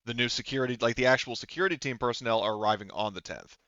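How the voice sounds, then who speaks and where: Clear-voiced man explaining a tabletop game. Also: medium pitch, very fast, sharp tone, no echo, muffled recording. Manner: patient, step-by-step clarifying. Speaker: game master.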